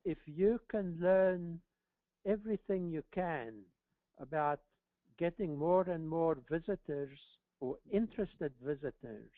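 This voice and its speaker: The man who gets put through on a conference call to answer questions, speaking slowly and haltingly over a thin phone line. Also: a little high, no echo. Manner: halting, deliberate, repeats and restarts words. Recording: narrow phone-line sound.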